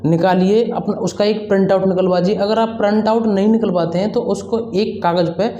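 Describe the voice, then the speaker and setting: A young man explains things to students in an informal, advisory way. His voice is soft-edged and mellow, and he tends to restate his points. The recording is dull and lo-fi, with clear room echo. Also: high voice, steady tone, fairly quick. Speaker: exam-prep advice presenter. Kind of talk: informal instructional talk to students. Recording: roomy echo, dull lo-fi sound.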